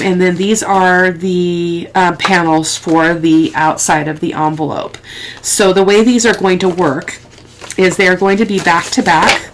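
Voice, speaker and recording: Sniffly, lower-pitched woman crafting on camera. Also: slight background noise. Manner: unhurried, halting, thinking aloud while working. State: sniffly with a head cold. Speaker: crafting tutorial host. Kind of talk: casual, rambling craft process talk. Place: indoors at a craft table.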